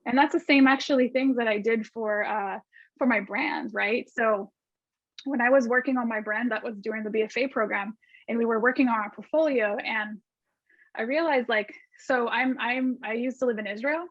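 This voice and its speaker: A woman's voice: on the high side, normal speed, no echo. A woman from Argentina, a guest designer, answering student questions in a chatty way with many restarts and fillers. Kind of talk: casual video call Q&A. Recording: dull lo-fi call audio.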